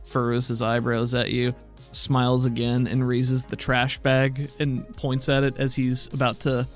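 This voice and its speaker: A man's voice: mid-range voice, completely flat delivery, unhurried, soft background music. A man acting as game master, narrating an improvised tabletop game in a conversational, descriptive way.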